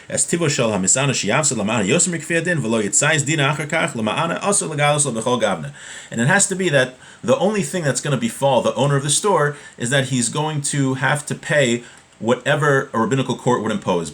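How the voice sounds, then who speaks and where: A man in his thirties teaches religious law in an informal, conversational way. His voice sits at a medium pitch as he works through a text and explains it, going back over key points. He speaks at desk distance from the mic in a small room at home. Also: fairly bright tone. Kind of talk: informal religious lesson, reading Hebrew text and explaining it in English.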